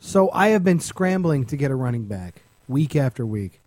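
A man's voice: medium pitch, sing-song, moderate pace, a little mellow, no echo. A man on a podcast, chatting casually about sports with his co-host.

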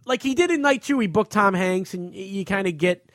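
A man with a noticeably high voice talks casually as a podcast host. He is blunt and profane as he offers his opinions, and the sound is close and dry. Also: animated, fairly quick, a bit bright, dry recording. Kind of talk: casual opinionated entertainment chat.

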